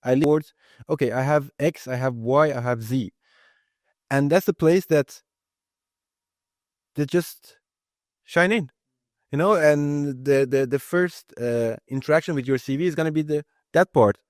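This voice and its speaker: A man's voice: fairly high pitch, measured pace, pauses often, dry recording. Earnest man, Persian native, dry sound. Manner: earnest, restarts phrases, emphatic. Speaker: guest, IT lead. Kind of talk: casual interview talk.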